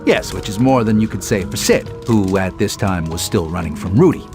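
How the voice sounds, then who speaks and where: Proud cartoon sabertooth, male actor for a game. Dry, exasperated sidekick-wrangler, expressive pitch, music bed underneath. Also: fairly quick, close to an everyday voice. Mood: proud, narrating. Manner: dry, self-assured, exasperated. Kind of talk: animated game cutscene storytelling.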